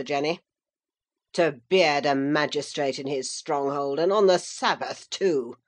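A woman voicing a pompous, blustering elderly gentleman magistrate in an audiobook. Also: low voice.

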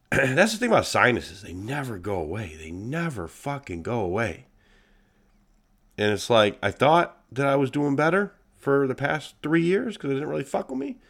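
A man's voice: mid-range voice, moderate pace, gravelly, husky. A man hosting his own podcast, talking casually and bluntly with a congested, nasal voice and lots of swearing. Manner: blunt, profane, animated. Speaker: podcast host. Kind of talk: casual solo podcast monologue.

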